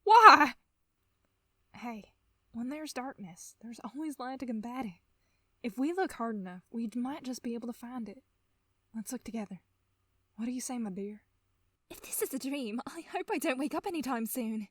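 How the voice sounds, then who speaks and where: A woman voicing an earnest, emotional young heroine with dramatic delivery in an animated drama. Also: fairly high pitch, moderate pace, long pauses, dry recording. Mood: desperate. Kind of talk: dramatic character acting.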